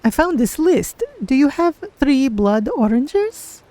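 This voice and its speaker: A woman's voice: sits high, animated, slow, warm tone. A woman voicing a curious, naive cat-folk adventurer, musing aloud in careful, formal phrases as she plays a game. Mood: inquiring. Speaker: streamer voicing own game character. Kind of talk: in-character game playthrough narration.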